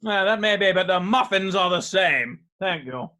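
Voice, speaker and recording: A man's voice: sits high, animated, moderate pace, pushed and bright. A man acting an unflappable young dandy in a Victorian stage comedy, airy, glib and mock-serious. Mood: nonchalant, defensive.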